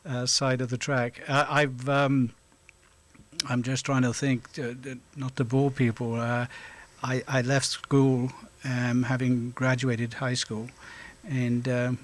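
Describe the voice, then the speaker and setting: A middle-aged man from South Wales, answering interview questions in a halting way with frequent hesitations and an occasional chuckle. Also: medium pitch, level tone. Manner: halting, lots of hesitations, chuckles now and then. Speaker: guest, volunteer officer.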